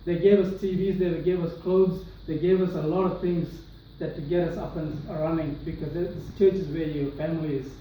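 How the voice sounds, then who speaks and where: A man's voice: fairly high pitch, steady tone, normal speed, hardly any pauses, dull audio, a little hiss or hum. A young man from Sri Lanka giving an earnest personal testimony to an audience in a large room. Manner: earnest, many restarts and fillers. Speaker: speaker sharing his testimony. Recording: echoey hall, voice from far off.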